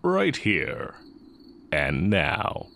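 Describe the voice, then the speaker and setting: A man acting as a sly, coolly teasing heretic magic teacher in an intimate audio roleplay, musing and taunting with drawn-out pauses. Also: low voice, wildly swooping pitch, creaky, a bit bright, exaggerated voice. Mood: sly, menacing.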